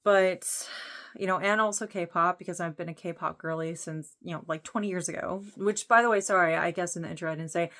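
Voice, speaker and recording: A woman's voice: on the low side, steady tone, fairly quick, fairly bright tone. A woman in her late thirties speaking casually and candidly as a vlogger, with an earnest, informal, rambling manner. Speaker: vlogger introducing own channel. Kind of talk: casual, candid talk to camera.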